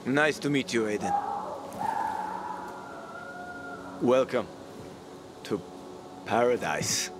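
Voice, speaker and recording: A man performs a streetwise survivor of a ruined city in a video game cutscene. He is cynical and wry, swears freely, and speaks at a middling male pitch over prominent music. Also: lively intonation, unhurried, natural voice. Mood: sly, welcoming. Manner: wry, profane. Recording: music behind the dialogue.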